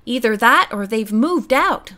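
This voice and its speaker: A woman's voice: on the high side, expressive, a little slow, bright, forceful tone. A woman voicing George, a blunt, impatient and scornful young woman.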